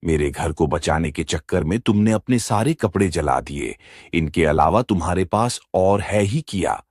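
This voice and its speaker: A man's voice: sits low, expressive, moderate pace, a little mellow, husky, dry recording, clean studio sound, heavily acted. A man voicing a bear moneylender in a children's moral-story cartoon, dramatic and lamenting in manner. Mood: shocked. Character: male bear moneylender, scheming yet remorseful. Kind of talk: Hindi animated moral story dialogue.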